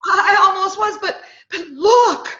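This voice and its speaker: A woman's voice: very high voice, slow, pushed and bright, full character voice. A woman playing a frightened, hysterical young woman, tearful and self-pitying, in an old-time radio drama. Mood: frightened, breathless. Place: home, remote video call recording. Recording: echoey, dull remote home recording.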